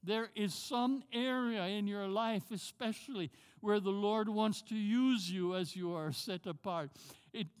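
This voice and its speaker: A man's voice: sits high, smooth. An older man from Jamaica with a Jamaican accent, preaching a sermon as a pastor and driving his points home by repeating key words. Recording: long hall reverb.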